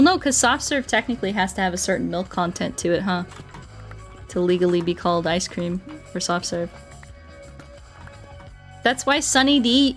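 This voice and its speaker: A woman doing a casual gaming livestream, rambling playfully and thinking out loud as she chats with viewers. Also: on the low side. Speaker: streamer playing Minecraft and chatting with viewers.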